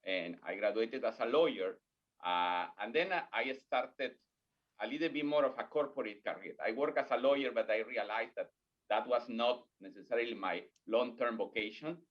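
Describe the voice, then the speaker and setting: A man's voice: medium pitch, moderate pace. Peruvian-accented man, muffled call audio. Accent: Peruvian Spanish. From Peru. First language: Spanish. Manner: earnest, with non-native grammar slips. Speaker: guest, corporate learning executive. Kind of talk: podcast interview.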